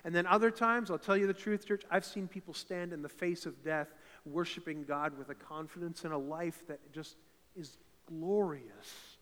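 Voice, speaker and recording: A man from eastern Canada with a big voice, preaching a fervent sermon in a church and building to loud, emphatic peaks. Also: a little high, moderate pace. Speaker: pastor. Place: church sanctuary. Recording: reverberant church hall.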